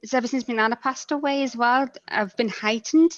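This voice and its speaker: A woman's voice: fairly high pitch, fairly quick, no echo, lo-fi sound. A woman telling personal stories casually as a podcast guest.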